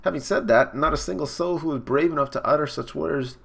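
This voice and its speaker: A man's voice: a little high, a little fast, gravelly, a bit bright, breathy edge, pitched above the performer's own voice, exaggerated voice. A man voicing a clingy, coquettish and menacing female hammerhead mermaid from a manga, in a dramatic manner. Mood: sly, menacing.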